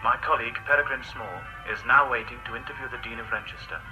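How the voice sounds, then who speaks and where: Fast-talking formal broadcast commentator, played by an actor. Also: male voice, mid-range voice, over music, lightly acted, poor audio. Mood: solemn, formal. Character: formal broadcast commentator covering church pageantry. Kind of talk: outside-broadcast commentary, radio drama.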